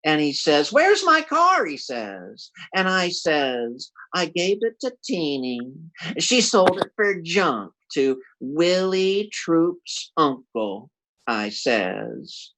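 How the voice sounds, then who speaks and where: A man's voice: a little high, very animated, slow, bright, forceful tone, full character voice, poor audio. A man playing a bumbling, scheming husband in classic radio comedy, with a folksy, stammering, blustering delivery. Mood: flustered scheming.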